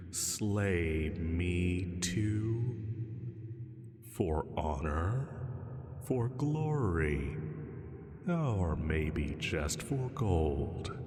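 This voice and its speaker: Very slow, deep dragon played by a man. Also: a little mellow, heavily acted. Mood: scornful questioning. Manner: slow, condescending, theatrical, drawn-out taunting. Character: ancient male dragon, sly predator, amused and menacing. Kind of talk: scripted roleplay audio drama monologue. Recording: long cavernous reverb.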